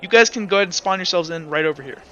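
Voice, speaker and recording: Bright-voiced young man running a game. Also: high voice, muffled recording. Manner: casual, halting, with many fillers and restarts. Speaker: game master. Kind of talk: running a tabletop roleplay game.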